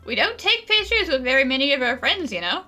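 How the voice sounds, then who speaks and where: A woman's voice: sits high, big pitch swings, fairly quick, sharp tone, faint music behind. A woman commenting casually on a video game playthrough in a jokey, giggly, conversational way. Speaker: gaming video host.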